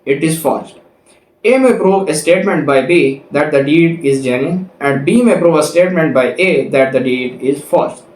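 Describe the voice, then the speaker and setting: A man with a Pakistani accent and a slightly high-pitched voice delivers a lecture in a roomy, echoing space. He is didactic, reading out formal passages and then explaining them point by point. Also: a little mellow. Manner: didactic, explains step by step with examples. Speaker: law lecturer. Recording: echoey, roomy sound.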